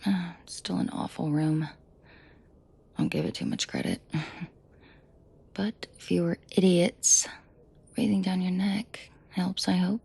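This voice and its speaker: A woman playing a protective mafia boss in an intimate audio drama, soft and breathy with frequent whispering, commanding and dryly teasing. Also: lowish voice, expressive, slow, pauses often, full character voice. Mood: tender, teasing. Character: protective female mafia boss, menacing to henchmen, tender to victim.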